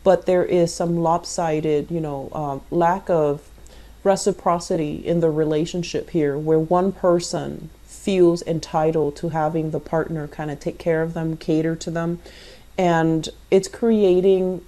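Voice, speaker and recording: A woman's voice: low voice, steady tone, measured pace, dark tone. A woman giving a conversational tarot card reading, explaining things and speaking directly to the listener. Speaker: tarot reader and channel host.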